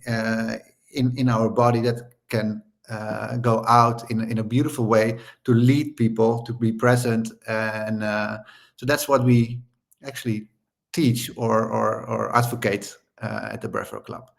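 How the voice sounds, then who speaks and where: Male host, mid pitch, halting and full of fillers. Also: creaky. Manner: hesitant, many repeated starts and fillers. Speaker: co-founder and host. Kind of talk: hosting an online talk.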